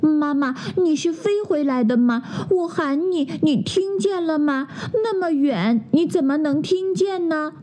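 Storybook bear cub, small boy, high and soft, performed by a woman. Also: expressive, unhurried, few pauses, slight background noise. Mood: curious. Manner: high, soft childish pleading.

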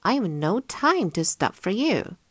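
A woman's voice: medium pitch, big pitch swings, unhurried, no echo. A woman voicing a little male bee in a children's storybook, buzzing, busy and brusque.

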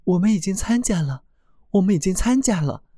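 A man's voice: high-pitched, lively intonation, brisk, warm tone, no room sound, studio quality, pitched above the performer's own voice. A man voicing a happy chorus of small forest flowers and creatures in a storybook tale, with a lifted, chiming voice. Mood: cheerful.

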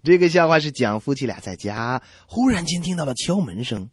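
A man's voice: a little high, lively intonation, a little fast, husky, dry recording. A man co-hosting a comedy radio show, introducing songs and telling jokes with playful banter. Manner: playful, joking.

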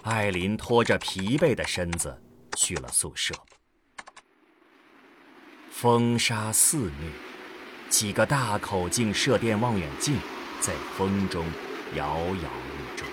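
A man narrates a sci-fi audio drama in a fairly low voice. His scripted delivery is slow and dramatic, with widely varied intonation, over faint music. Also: lively intonation, background noise. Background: faint background music.

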